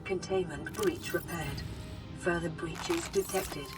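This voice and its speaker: A voice playing a calm ship computer in a video game, giving terse automated status alerts. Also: mid-range voice, takes it slowly, music in the background, lightly acted. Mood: flat, clinical.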